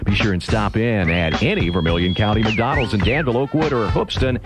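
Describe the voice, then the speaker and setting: A man's voice: lowish voice, brisk. An American man working as a radio DJ, hosting a seventies music show with patter between songs. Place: radio studio. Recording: radio aircheck with music behind.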